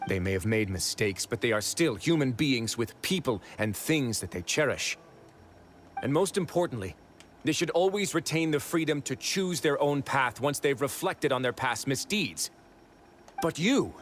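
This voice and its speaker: An actor playing a composed, principled prison warden in a video game, speaking in a measured, eloquent and firm way. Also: male voice, mid-range voice, slight background noise, close to an everyday voice. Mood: accusing. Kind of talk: dramatic video game voice acting.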